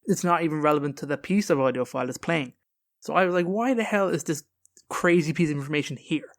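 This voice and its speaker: A man's voice: on the high side, fast talker. A man hosting a podcast, talking casually and informally with emphatic opinions. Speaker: podcast host.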